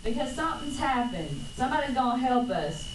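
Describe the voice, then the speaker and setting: A woman voicing a folksy, earnest street apple seller in a radio play, with a wavering put-on New York and Southern accent and halting delivery. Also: high voice, big pitch swings, voice pushed up. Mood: earnest, insistent. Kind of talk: 1940s radio drama read at a live panel. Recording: roomy distant mic, noisy convention room.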